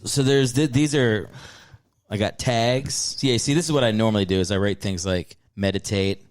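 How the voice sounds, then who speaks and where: A man's voice: fairly low pitch, normal speed. A man hosting a casual comedy podcast, rambling with restarts and defending himself as his friends tease him. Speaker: podcast host.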